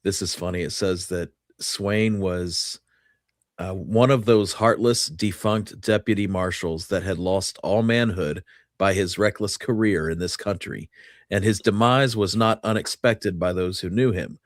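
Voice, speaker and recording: Low-voiced man, dry close sound. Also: measured pace, dry recording. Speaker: co-host. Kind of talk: casual history discussion with read-out newspaper clippings.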